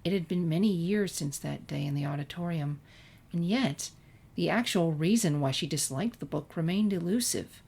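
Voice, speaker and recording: A woman's voice: on the low side, normal speed, vocal fry, darkish tone, husky. A woman narrator reading a scripted fan-fiction story expressively.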